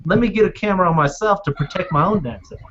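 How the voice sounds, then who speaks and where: High-pitched blunt man, muffled lo-fi audio. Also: fast talker. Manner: blunt, crude. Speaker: podcast panelist. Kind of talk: casual, profane chat. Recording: muffled lo-fi audio with faint noise.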